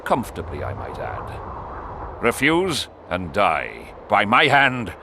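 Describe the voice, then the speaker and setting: A man's voice: fairly low pitch, wildly swooping pitch, takes it slowly, pushed and bright, slight background noise, heavily acted. An actor playing a domineering, scheming middle-aged warrior king in a video game, with a commanding, sardonic manner. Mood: menacing. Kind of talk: dramatic video game acting.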